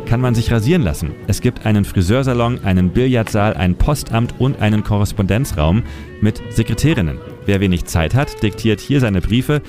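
Low-voiced man narrating over music. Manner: measured storytelling delivery. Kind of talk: scripted documentary narration. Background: music bed.

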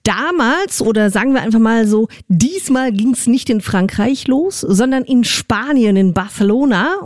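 A woman's voice: medium pitch, darkish tone, dry recording. A woman presenting on the radio in a casual, conversational moderating style, close on a studio microphone. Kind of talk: casual radio moderation between music and features.

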